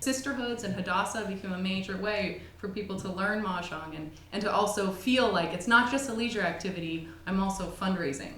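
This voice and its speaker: A woman giving clear-minded explanatory commentary in an interview. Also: medium pitch, a little fast, few pauses, a bit bright, echoey room.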